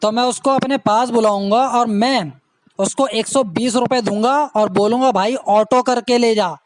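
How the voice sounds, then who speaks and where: A man playing a cheeky, deadpan husband who protests plainly in a comedy skit. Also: very high-pitched, a little slow.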